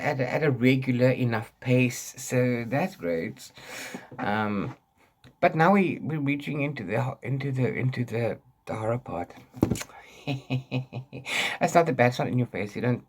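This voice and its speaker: A man talking casually as a book vlogger, rambling and often interrupting himself with repeated words. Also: medium pitch. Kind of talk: casual book chat to camera.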